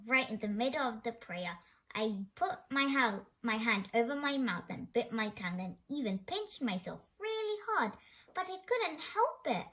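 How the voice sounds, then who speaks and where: A woman's voice: fairly high pitch, big pitch swings, normal speed, fairly bright tone. A woman reading a children's story aloud expressively, now and then stumbling over a word. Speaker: storybook reader.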